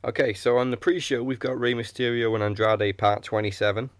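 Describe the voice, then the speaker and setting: A man co-hosting a wrestling podcast in casual chat, making dry, measured remarks. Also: mid-range voice, level tone, dry recording. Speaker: podcast co-host.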